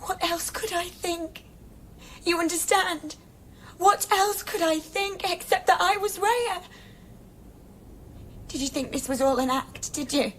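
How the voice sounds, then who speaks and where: A fragile, anguished woman in a radio play. Her voice is extremely high and bright, and she speaks slowly and haltingly with raw intensity. Faint ambient noise sits behind her. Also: exaggerated voice. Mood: bitter, despairing. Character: Rhea, anguished, fragile woman doubting her own identity. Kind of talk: radio drama acting.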